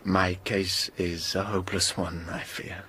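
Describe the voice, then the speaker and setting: An actor playing an earnest, lovesick young gentleman doctor in a radio drama, ardent and refined in manner. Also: low-pitched, flat delivery, measured pace, a little hiss or hum. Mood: despairing.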